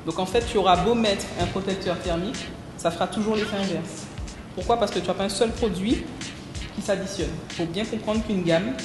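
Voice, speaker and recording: A woman's voice: medium pitch, a little fast. A woman teaching a hair care class, firm and direct with her students. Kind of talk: instructing a class. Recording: echoey hall with music.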